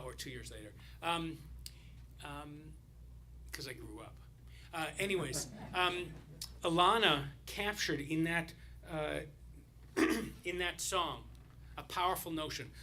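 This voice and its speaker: A man speaking as a rabbi, telling a loose, rambling story during a service with many pauses and restarts. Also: a little high, pushed and bright, slight background noise.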